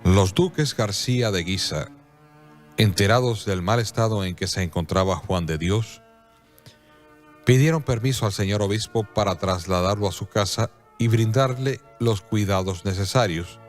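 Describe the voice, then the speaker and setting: A man narrates a scripted radio drama with a fairly low voice. His storytelling is solemn and measured, set over soft music.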